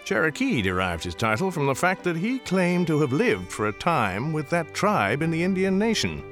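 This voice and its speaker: An actor narrating a radio storybook tale of the Old West as a wry, courtly storyteller. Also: male voice, on the high side, big pitch swings, moderate pace, fairly bright tone. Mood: wry storytelling. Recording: music behind the voice.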